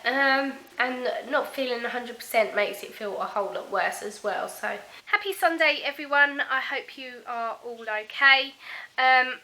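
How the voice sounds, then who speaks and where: A woman, hoarse and croaky from a sore throat, chatting casually to her camera at home with plenty of ums. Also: sits high, sharp tone, rough recording. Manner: chatty, many ums, unhurried. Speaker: family vlogger. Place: at home, indoors.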